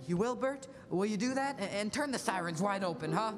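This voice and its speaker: A young woman acting an overjoyed, desperate family man in a radio play, with an excitable, exclamatory delivery. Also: medium pitch, brisk, lightly acted. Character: overjoyed, desperate family man given his life back. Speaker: student actor. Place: school auditorium stage. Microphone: stand microphone. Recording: echoey school stage, music behind.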